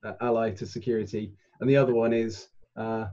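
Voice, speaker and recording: A man's voice: fairly low pitch, fairly even intonation, fairly quick, dark tone, muffled recording. A man talking casually as a player in an online tabletop game, recorded over a group call.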